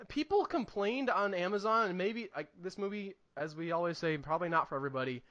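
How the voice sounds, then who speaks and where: A man co-hosting a podcast, casually discussing films in an animated, emphatic and opinionated way. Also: high voice, a little fast, lo-fi sound. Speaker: podcast co-host reviewing films.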